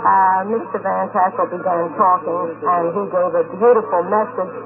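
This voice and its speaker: A man answering an interviewer on location in an earnest, halting way. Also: sits high, steady tone, crowd noise. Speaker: convention attendee being interviewed. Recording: noisy, tinny 1950s radio field recording.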